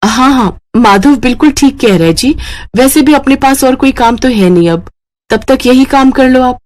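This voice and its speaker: A woman plays a reassuring village wife in an animated moral tale. She is soothing and supportive, with lively intonation. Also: high voice, normal speed, no room sound, voice pushed up.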